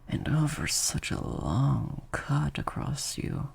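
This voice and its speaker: Man acting a slow, creaky, sadistic vampire master. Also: medium pitch, sing-song, slight background noise, exaggerated voice. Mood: cold, menacing. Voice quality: breathy, creaky, mostly whispered. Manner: slow, drawn-out, softly taunting. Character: slightly sadistic male vampire master, menacing yet praising. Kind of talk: improvised ASMR-style audio roleplay.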